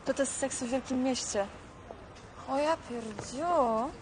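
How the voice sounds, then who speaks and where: A woman friend browsing shoes at a market, with a high voice and a mildly crude edge. She speaks in short, impressed remarks. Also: slow, noisy background. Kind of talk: film acting.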